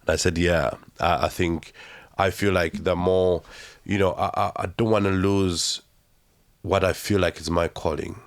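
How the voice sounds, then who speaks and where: A young man with a Ugandan accent, telling his story as an interview guest in a halting, reflective way full of fillers. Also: deep voice, fairly even intonation.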